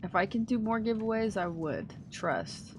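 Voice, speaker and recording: A woman's voice: mid-range voice, sing-song, moderate pace, background noise. A woman live-streaming a game, chatting casually with viewers in playful, slangy, profane banter. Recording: game music behind the voice. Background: video game music.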